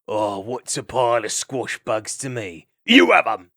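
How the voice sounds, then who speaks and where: A man's voice: mid-range voice, measured pace, sharp tone, no room sound, studio quality. A man voicing a skinny, vicious male weasel in an animal fantasy story. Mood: snarling.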